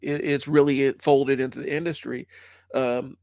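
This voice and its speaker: A man's voice: medium pitch, level tone, normal speed, darkish tone, no room sound. A man in his forties with the sniffles, hosting a solo stream and talking casually, with many fillers. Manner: conversational, rambling with many fillers. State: stuffy, has the sniffles. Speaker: podcast host.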